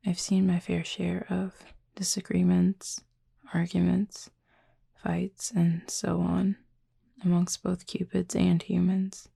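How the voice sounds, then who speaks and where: Casting: actress for a reflective, guarded female cupid in intimate roleplay audio. Mellow, very breathy and husky, gently formal, close and dry. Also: on the low side, no echo, exaggerated voice. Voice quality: soft, breathy, husky with creak. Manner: thoughtful, measured, gently formal.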